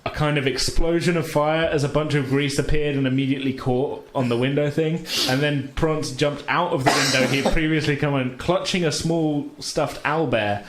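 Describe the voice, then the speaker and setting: A man acting as game master, narrating a tabletop game casually with jokey, colloquial, halting phrasing. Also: on the high side, steady tone. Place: indoor room with group of players. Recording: echoey room, faint background noise.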